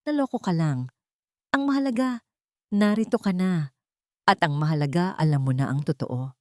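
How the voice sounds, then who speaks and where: A woman voicing a gentle, forgiving mother of a grown son, speaking tenderly. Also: on the low side, expressive, moderate pace, no room sound, clean studio sound, close to an everyday voice.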